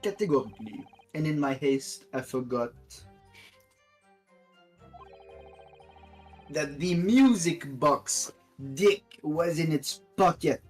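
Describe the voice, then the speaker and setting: Man playing a pompous, haughty gentleman witness. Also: fairly high pitch, expressive, measured pace, soft background music. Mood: self-important. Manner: pompous, formal, wordy. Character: haughty, indignant gentleman witness, a well-paid communications officer. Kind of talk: fan-dub courtroom game read-aloud.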